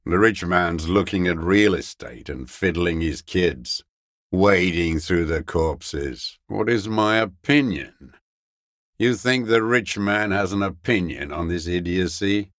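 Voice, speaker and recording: An embittered old deserter, a die-hard communist soldier from a video game. His voice is extremely low and he speaks slowly, brooding and spitting contempt. The recording is dry and close, without room sound. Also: expressive, fairly bright tone, dry recording, exaggerated voice. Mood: scornful. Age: older. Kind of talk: video game character dialogue.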